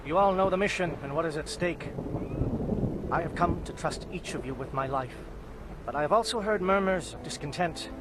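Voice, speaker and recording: A man voicing a solemn alien commando captain in a video game, speaking in a formal, measured, oratorical way. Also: a little high, background noise, close to an everyday voice. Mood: solemn, proud. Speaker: military captain. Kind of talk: video game cutscene acting.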